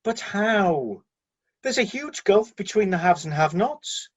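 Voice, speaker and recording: A candid, deferential aide in heaven played by a man speaks in a radio play with a husky voice. The intonation is lively and varied, yet the manner stays hesitant and matter-of-fact, on a slightly dull recording. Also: sits high, unhurried. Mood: puzzled, concerned.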